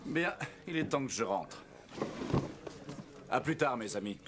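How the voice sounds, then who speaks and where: An actor playing a brave, firm Russian bear hunter in a French cartoon. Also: male voice, medium pitch, animated, a little slow, very noisy, close to an everyday voice. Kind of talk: French animated series dubbing. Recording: loud music under the voice.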